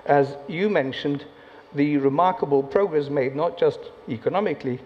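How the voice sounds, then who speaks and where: A man on a conference panel giving a deliberate, matter-of-fact answer. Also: a little high. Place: conference hall. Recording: muffled audio in a reverberant hall, faint noise.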